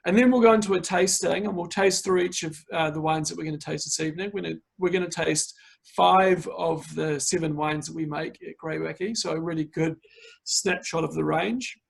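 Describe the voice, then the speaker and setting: A man with a New Zealand accent, a winemaker presenting an online tasting over a video call and talking in a relaxed way, full of fillers. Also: a little high, fairly quick, creaky, breathy edge.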